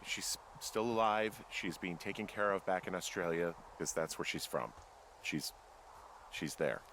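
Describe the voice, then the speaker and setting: Deep-voiced, reserved lookout, noisy ambience. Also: male voice, unhurried, fairly bright tone. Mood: subdued, pained. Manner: understated, casual, terse. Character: reserved, dryly joking, weary male fire lookout. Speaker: video game character. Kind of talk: naturalistic game dialogue. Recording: clearly noisy game ambience behind.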